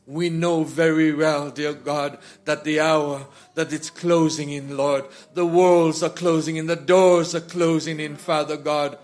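A man's voice: on the high side, level tone, unhurried, hardly any pauses, soft background music. A man leading a congregation in fervent, spontaneous prayer, pleading in short repeated phrases that swell and subside. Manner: repetitive, pleading, short phrases punctuated with addresses to God; swells from hushed to loud and impassioned. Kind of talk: fervent extemporaneous church prayer.

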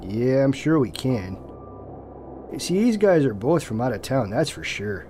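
A man acts out a local Canadian Mountie in an audio drama, earnest and cautious. He stammers and hesitates as he explains, with a lively swing in pitch. Music plays clearly behind him. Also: measured pace. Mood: matter-of-fact.

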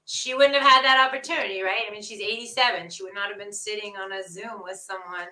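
A woman's voice: medium pitch, fairly even intonation, fast, pushed and bright. A woman from Canada, a guest author on a podcast, chatting casually over a video call from a bomb shelter and laughing often. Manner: chatty, laughs often, imitates others' voices. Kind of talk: casual conversation. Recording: echoey room.

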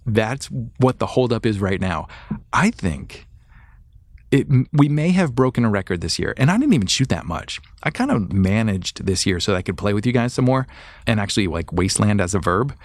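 A man with a fairly low voice hosts a solo podcast in a dry, close recording. He talks informally and with enthusiasm, rambling through updates and working out his thoughts aloud. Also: no echo. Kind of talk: casual rambling show update to listeners.

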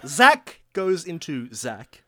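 Young guy cohost, deadpan jokes, pitch jumps around a lot, dry close sound. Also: slow, dry recording. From Poland. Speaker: podcast co-host. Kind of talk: casual comedic banter.

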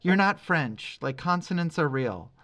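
A man's voice: on the high side, lively intonation, a little slow, fairly bright tone. Rambling, opinionated man on a podcast. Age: middle-aged. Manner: rambling, emphatic, opinionated, thinking aloud. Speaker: podcast host, music reviewer. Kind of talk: casual podcast discussion.

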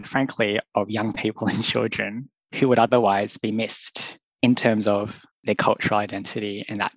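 A man's voice: on the low side, breathy edge, no echo, poor audio. A young man, a public health doctor, speaking earnestly and thoughtfully in a podcast interview, laughing now and then. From Australia. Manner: thoughtful, earnest, laughs often, loose phrasing.

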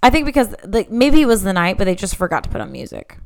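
A woman co-hosting a podcast, describing a restaurant in a relaxed, chatty way with many fillers. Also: medium pitch, quick pace, creaky. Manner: relaxed, laughs easily, lots of fillers. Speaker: podcast co-host. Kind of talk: casual restaurant review chat.

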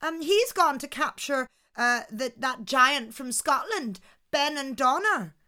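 A woman's voice: high voice, lively intonation, takes it slowly, sharp tone, pitched above the performer's own voice, exaggerated voice. A woman voicing a cunning, clever wife who sweet-talks a giant with sly hesitant chatter.

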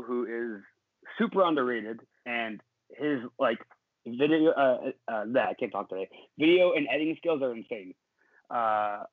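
Relaxed young man on a tinny call line. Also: medium pitch, no echo. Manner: relaxed, rambling, many fillers and laughs. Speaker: guest, professional wrestler. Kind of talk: casual interview chat. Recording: dry, tinny phone-like call audio.

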